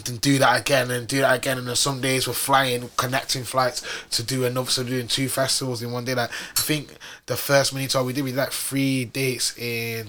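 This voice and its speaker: A man's voice: medium pitch, a bit bright. Slangy rambling young man. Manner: slangy, rambling, many fillers and restarts. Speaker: guest artist. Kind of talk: casual chat.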